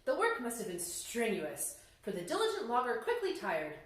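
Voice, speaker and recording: A woman narrating a storybook tale as a children's story time host, very expressive, with playful asides to her listeners. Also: a little high, normal speed. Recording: very echoey room sound.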